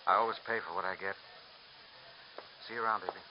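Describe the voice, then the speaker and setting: A man's voice: low-pitched, fairly even intonation, quick pace, lightly acted. An actor playing a cold, brusque tough guy with a curt, dismissive manner in a mid-century radio drama. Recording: muffled, faintly noisy old radio recording.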